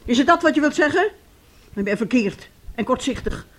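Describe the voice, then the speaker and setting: An actress playing a domineering, bitter middle-aged mother in a radio play, husky and sharp-tongued. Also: sits high, animated, a little fast. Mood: indignant, scolding. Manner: scolding, sharp-tongued, self-righteous.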